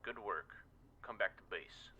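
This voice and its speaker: A man's voice: lowish voice, animated, measured pace, pushed and bright, heavily acted. A man playing a military officer at headquarters, sending approving messages over the radio in a game mission. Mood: businesslike.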